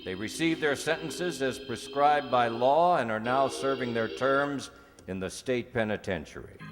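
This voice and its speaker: A man playing a solemn 1950s police-drama radio announcer with formal, deliberate delivery. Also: mid-range voice, over music, close to an everyday voice. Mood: solemn, official. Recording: reverberant hall, noisy live audience recording.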